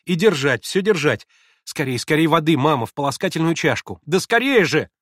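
A man reading aloud, voicing an excitable, imperious young invalid girl who gives urgent commands. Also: medium pitch, a little fast, no echo, clean studio sound, close to an everyday voice.

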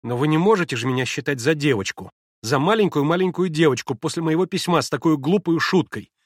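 A man reading aloud, voicing an excitable, imperious young invalid girl who gives urgent commands. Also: a little high, fast, dry recording, clean studio sound, pitched above the performer's own voice.

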